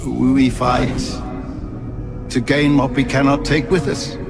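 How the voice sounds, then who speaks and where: An actor playing a driven, devout Victorian scientist villain, speaking gravely and earnestly in a video game cutscene. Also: male voice, mid-range voice, little pitch movement, slow. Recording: game music behind the voice.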